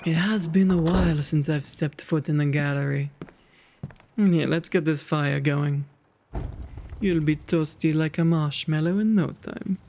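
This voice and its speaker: Man as a slow-spoken, kindly gentleman vampire. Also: fairly high pitch, steady tone, a little hiss or hum. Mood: reassuring. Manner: courteous, doting, old-fashioned refined phrasing. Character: kind, genteel male vampire host of an old mansion. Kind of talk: ASMR roleplay acting.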